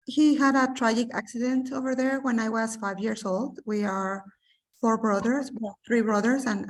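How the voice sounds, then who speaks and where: Female guest from Mexico, second-language speaker, animated storyteller with many fillers; distant video call sound. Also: on the high side. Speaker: interview guest, businesswoman. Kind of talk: personal storytelling interview. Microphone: remote video call.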